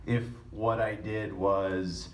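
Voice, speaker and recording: A man's voice: low-pitched, flat delivery, slow. A man, a voice actor, answering questions at a press conference in an affable, self-deprecating way. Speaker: voice actor on a panel. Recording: roomy hall with faint noise.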